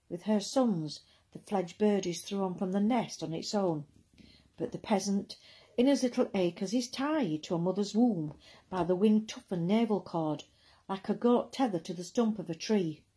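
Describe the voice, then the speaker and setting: Female poetry reader, mellow and slightly husky, expressive recital delivery. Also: medium pitch.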